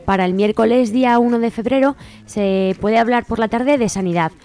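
A woman's voice: a little high, normal speed. Spanish woman announcing over music. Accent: Spain. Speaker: radio show host. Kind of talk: informal reading of event announcements. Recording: music playing behind the voice.

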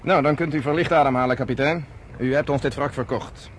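A decisive leader gives his orders at a rapid clip, played by a man. The voice sits mid-range and sounds firm and businesslike. This is a radio drama, and faint noise runs under the voice. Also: medium pitch, natural voice. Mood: commanding. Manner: brisk, commanding. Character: decisive man commanding a refugee voyage. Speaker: leader organizing a ship. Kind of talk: radio play acting.

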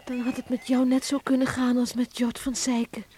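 A woman's voice: on the high side, flat delivery, moderate pace, dark tone, close to an everyday voice. An actress playing a tender, anxious village woman in a Dutch radio play, earnest and emotional. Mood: quiet, sorrowful. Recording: noisy old radio play recording.

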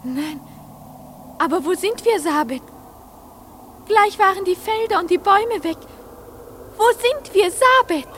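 An actress playing a dreamy, trusting young girl in a radio play, recounting and acting out memories in a soft, breathy voice. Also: very high voice, animated, unhurried, pauses often, a little hiss or hum, heavily acted. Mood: wondering.

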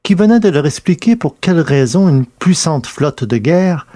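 A man's voice: fairly high pitch, lively intonation, normal speed, mellow. A man narrating a science fiction novel in a scripted, literary storytelling style.

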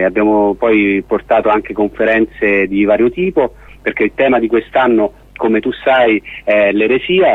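A man from Rome, explaining an event as a radio guest in a halting, wordy way with frequent rephrasing. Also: fairly low pitch, no echo, narrow, tinny audio. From Rome, Italy. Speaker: festival organiser, radio guest.

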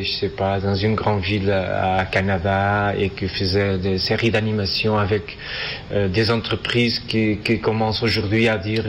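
A man from Portugal speaking with a Portuguese accent as an interview guest, halting and searching for words in a non-native language. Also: fairly low pitch. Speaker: interview guest, animation filmmaker. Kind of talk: casual interview answers. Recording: festival interview with background noise.

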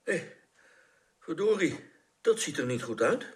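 Eager astronaut for radio drama: talkative, expressive, lightish pitch, very lively delivery. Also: male voice, measured pace. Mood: concerned.

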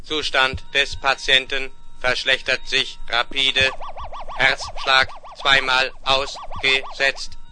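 Clinical robot attendant, measured slow delivery, formal monotone, noisy vintage sci-fi radio play. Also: male voice, medium pitch. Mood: clinical, alert. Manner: clipped, formal, monotone status reports. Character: male robot medical monitor, impassive, dutiful, clinical. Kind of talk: 1970s German sci-fi radio play acting. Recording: noisy 1970s vinyl recording.

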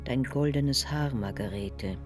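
Slow solemn man over clear music. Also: medium pitch. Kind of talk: solemn dramatic poetry recitation.

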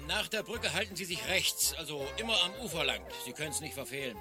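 High-voiced, easygoing sergeant played by a man. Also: expressive, fairly quick, lightly acted. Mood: helpful, reassuring. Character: helpful, easygoing army or police sergeant. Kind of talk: German radio crime drama acting. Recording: old radio play over prominent music.

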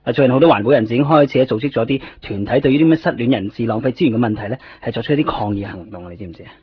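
A man's voice: mid-range voice, very fast, a little hiss or hum, close to an everyday voice. A man playing a fussy, penny-pinching office manager in a radio drama, nagging with repeated questions. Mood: preachy. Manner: nagging, repetitive rhetorical questions. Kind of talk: Cantonese radio drama acting. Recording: muffled lo-fi radio recording, faint music.

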